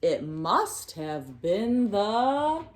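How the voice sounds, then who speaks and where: A woman giving an expressive, sing-song picture-book read-aloud for young children, pausing to prompt her listeners. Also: mid-range voice, a little hiss or hum.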